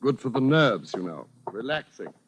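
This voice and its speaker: A man's voice: medium pitch, very animated, normal speed, slight background noise, close to an everyday voice. An actor playing a furtive, evasive married Englishman with delusions of station, speaking formally and hesitantly in 1940s radio suspense style. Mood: placating. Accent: British. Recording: vintage radio drama recording, faint music.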